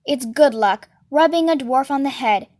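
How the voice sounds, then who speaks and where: Woman reading as a high-voiced, spiteful, brash woman. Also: normal speed, darkish tone, dry recording, natural voice. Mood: taunting, spiteful. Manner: taunting, slangy, needling. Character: brash, mocking, spiteful woman, goading and gossipy.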